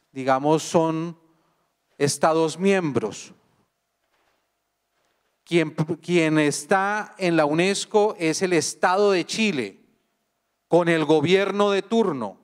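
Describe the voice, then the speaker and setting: A man with a Colombian accent giving a university lecture, speaking slowly and deliberately and putting questions to the audience. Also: on the high side. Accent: Colombian Spanish. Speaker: guest speaker. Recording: long hall reverb.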